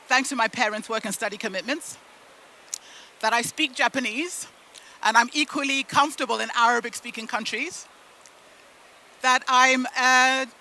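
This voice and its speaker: A middle-aged woman whose first language is Shona speaks to an audience in a very high, strikingly bright voice. She is from the UK and Zimbabwe and tells her story deliberately, as a keynote speaker, leaning on emphatic pauses. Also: rough recording. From United Kingdom and Zimbabwe. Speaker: keynote speaker at a business event. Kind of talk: motivational storytelling talk to an audience.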